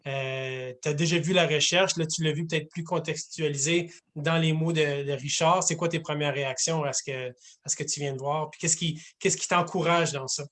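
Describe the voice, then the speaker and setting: A man's voice: on the high side, fairly even intonation, moderate pace, a bit bright. A man moderating a virtual panel, casual and chatty with fillers. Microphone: video call.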